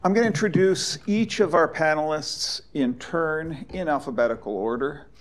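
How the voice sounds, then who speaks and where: A man introducing speakers at an academic panel, halting, with frequent fillers and restarts. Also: a little high. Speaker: panel moderator.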